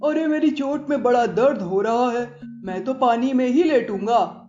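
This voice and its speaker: A sensible elephant from a children's storybook, acted by a woman, admonishing in a deliberate, high-set voice. He is stern and moralising. The recording is muffled, with faint music underneath. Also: dark tone, reverberant, close to an everyday voice. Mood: pained, stubborn.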